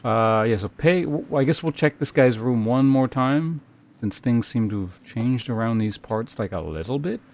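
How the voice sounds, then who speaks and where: A man's voice: medium pitch, lively intonation, moderate pace, a little mellow, slight background noise. A man giving casual, rambling gameplay commentary as a streamer, thinking aloud as he plays. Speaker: game streamer.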